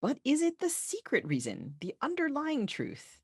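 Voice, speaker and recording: A woman co-hosting a podcast, putting interview questions in a casual, informal and animated way. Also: high voice, moderate pace, no room sound, studio quality. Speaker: podcast co-host.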